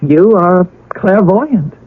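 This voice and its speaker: Radio-play confidante: reasoning, earnest woman with a rough husky voice, on a muffled vintage broadcast. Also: fairly low pitch, lively intonation, slow, exaggerated voice. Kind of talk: 1970s radio drama acting. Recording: muffled, band-limited old radio recording.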